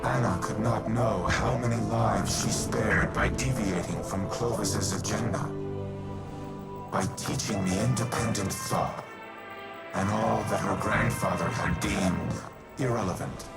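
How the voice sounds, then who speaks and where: An actor playing an ancient, grave and remorseful artificial war mind in a video game, speaking in solemn, measured, formal monologue. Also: male voice, on the low side, gravelly. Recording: loud music under the voice.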